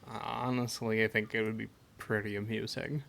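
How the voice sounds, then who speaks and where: A man playing a caring, teasing adventurer who comforts a party member, in a gentle, halting, conversational amateur audio roleplay. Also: on the low side, little pitch movement, creaky, noisy background. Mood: playful, curious.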